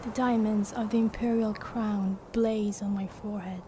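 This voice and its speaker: A woman playing a dreamy, fragile schoolgirl given to grand fantasies, speaking in a measured, intense way in a poetic audio drama. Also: mid-range voice, fairly even intonation, noisy background, natural voice. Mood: defiant.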